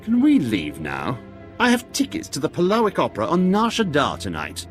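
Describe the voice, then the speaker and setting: An actor voicing a pompous, cowardly, pampered Imperial official in a video game, with a fussy, self-absorbed and haughty manner. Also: male voice, on the high side, huge pitch swings, moderate pace, soft background music. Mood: blithe, haughty. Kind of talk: comic video game acting.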